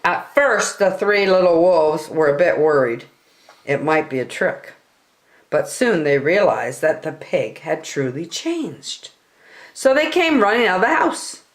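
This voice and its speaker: Slow, expressive older woman reading a storybook. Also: lowish voice. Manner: deliberate, emphatic storytelling for small children. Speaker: story time reader. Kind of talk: expressive children's picture book read-aloud. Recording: roomy home recording.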